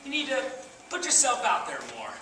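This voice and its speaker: A man playing an outgoing, teasing buddy in a stage comedy, blunt and drawn-out in his delivery. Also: very high-pitched, huge pitch swings, full character voice. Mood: coaxing, teasing. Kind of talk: stage comedy acting, projected. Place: theater stage, recorded from the audience distance. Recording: echoey theater, far mic, noisy.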